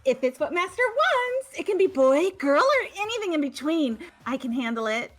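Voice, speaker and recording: A woman's voice: high-pitched, big pitch swings, moderate pace, faint music behind. A woman voicing a deranged, giggling young female killer in a fan-dubbed game, gushing in a manic way.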